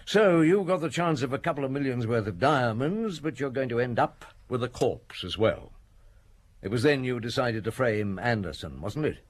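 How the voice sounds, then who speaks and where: Shrewd husky detective inspector, played by a man. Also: mid-range voice, expressive, natural voice. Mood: accusing, methodical. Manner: deliberate, probing, with dramatic pauses. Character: shrewd, relentless male French police chief inspector summing up. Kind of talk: radio detective drama acting.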